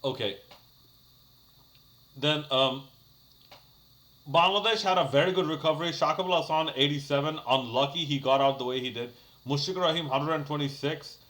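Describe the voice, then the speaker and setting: A man's voice: fairly high pitch, moderate pace, long pauses, a bit bright. A young man talking casually to his channel, giving a rambling review of a cricket match full of fillers and restarts. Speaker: cricket YouTuber.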